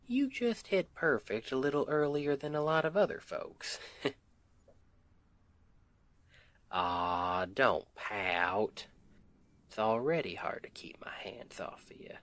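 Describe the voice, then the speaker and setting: A man's voice: mid-range voice, expressive, no room sound. A man playing a doting country husband with a Southern accent in an intimate ASMR roleplay, folksy and affectionate. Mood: playful, teasing. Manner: affectionate, unhurried, folksy, gently teasing.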